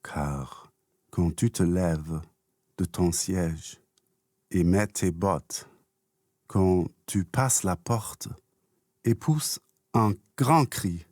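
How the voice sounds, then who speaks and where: A man reciting poetry in measured, deliberate phrases with pauses between them. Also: low-pitched, a little mellow, dry recording, clean studio sound. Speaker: poet reciting own poem.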